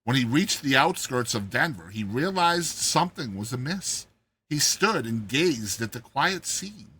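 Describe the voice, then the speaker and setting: A man narrating a short story in a theatrical, expressive, dramatized reading style. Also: mid-range voice, husky, low-quality recording. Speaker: narrator, host of a reading series.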